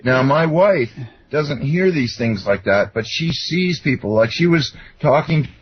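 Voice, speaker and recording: A middle-aged or older man with a husky voice, telling personal stories in an earnest, rambling, explanatory way as an interview guest. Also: medium pitch, animated, a little slow, muffled recording, a little hiss or hum. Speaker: interview guest, self-described contactee. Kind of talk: conversational personal storytelling.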